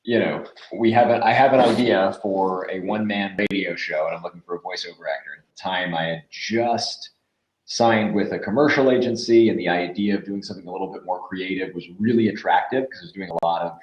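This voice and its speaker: A man's voice: low-pitched, a little fast, dull audio. A man chatting casually as a podcast guest and voiceover actor, telling his story over an online call. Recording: echoey online call with noise, clicks.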